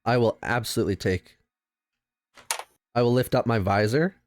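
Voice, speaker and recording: A man chatting casually and excitably as a player in a tabletop game. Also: mid-range voice, normal speed, slight background noise.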